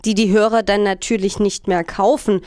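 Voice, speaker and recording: Woman, crisp smooth voice, scripted reading, dry close sound. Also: medium pitch, fairly even intonation, normal speed, a little mellow, no room sound. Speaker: news co-reader reading out quotations.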